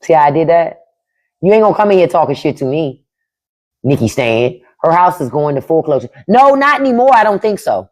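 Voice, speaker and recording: Blunt, profane woman with a lively voice. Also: low-pitched, brisk. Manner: blunt, profane, emphatic, reads and answers chat. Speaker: podcast host on a live stream. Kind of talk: casual livestream commentary.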